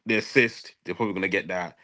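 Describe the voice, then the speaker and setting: A man's voice: low voice, steady tone, fast, sharp tone. A man in a casual football transfer debate, speaking emphatically and repeating phrases for stress. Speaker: football fan show panelist.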